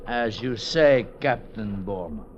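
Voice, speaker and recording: A man's voice: on the low side, expressive, slow. Casting: an oily, courteous male schemer for a radio thriller, condescending, savors each word, dull vintage sound, faint noise. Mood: feigned innocence. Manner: silky, formal, condescending, savoring words. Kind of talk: 1940s-50s American radio drama acting.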